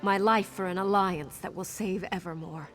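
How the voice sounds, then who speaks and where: A woman's voice: medium pitch, fairly even intonation, normal speed, a bit bright, natural voice. A young woman voicing a wounded, defiant scout and dutiful daughter in a video game, speaking in an earnest, dramatic way. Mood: hurt, pleading. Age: young adult. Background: game music.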